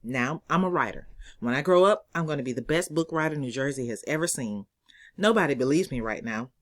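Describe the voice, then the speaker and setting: A woman reading her own novel aloud as expressive first-person narration, in a conversational, sassy storytelling manner. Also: sits low, brisk, dry recording, studio quality.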